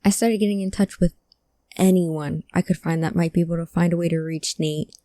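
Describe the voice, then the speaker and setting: A woman acting an earnest, emotional hacker searching for a lost friend in a cyberpunk audio drama, with halting delivery. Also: on the low side, steady tone, mellow, no echo, lightly acted. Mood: grim, resolute. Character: distressed, determined hacker woman seeking her lost friend.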